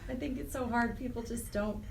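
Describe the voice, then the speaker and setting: A woman's voice: a little high, fairly quick, creaky. A middle-aged American woman speaking earnestly and reflectively as a guest in a conversational radio interview. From Wyoming, United States. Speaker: interview guest, charity program director. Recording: distant mic with faint noise.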